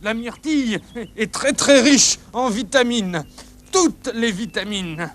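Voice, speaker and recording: A man's voice: extremely high pitch, slow, a bit bright, exaggerated voice. A man playing a kindly, fussy, grandfatherly old man in a children's show, patient and coaxing. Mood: explaining. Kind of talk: children's puppet show acting.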